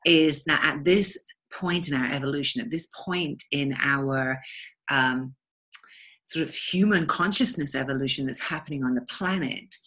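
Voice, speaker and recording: A woman's voice: sits low, normal speed, a bit bright. A woman with an English accent speaking as a guest in an interview, earnest and reflective in a flowing manner. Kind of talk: spiritual interview talk.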